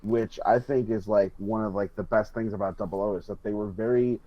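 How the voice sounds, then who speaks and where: Man, lowish voice, casual rambling podcast chat, repeats himself, muffled close recording. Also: fairly even intonation, a little fast, background noise. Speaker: podcast panelist. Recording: dull, close, dry lo-fi voice track.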